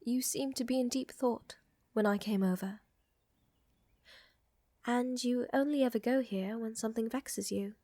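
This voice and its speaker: A woman playing a flirtatious, doting aristocratic vampire countess in an intimate ASMR audio roleplay, with a soft, breathy murmur and elegant, teasing, soothing phrasing. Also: fairly high pitch, unhurried, long pauses, no echo. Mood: tender, flirtatious.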